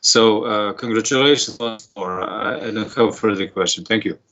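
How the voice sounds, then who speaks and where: A man's voice: fairly low pitch, normal speed, a bit bright. A man speaking as an academic examiner, courteous and tentative, putting spontaneous formal questions and comments with restarts. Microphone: video call. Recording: muffled online video call audio.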